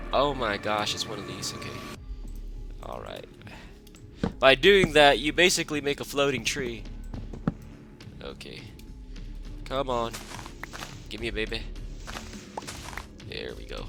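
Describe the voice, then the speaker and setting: A young man with a raspy, stuffed-up voice from a cold, chatting casually as he plays a game. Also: mid-range voice. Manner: rambling and relaxed, lots of fillers. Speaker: gaming channel host. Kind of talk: casual gameplay commentary. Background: background music.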